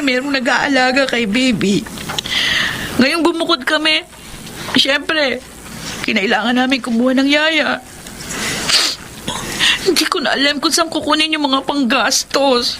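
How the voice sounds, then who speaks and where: A woman's voice: high voice, unhurried, long pauses, noisy background. An actress playing a worn-down, anxious young wife and working mother in an emotive, confiding Tagalog radio drama style. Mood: troubled, upset.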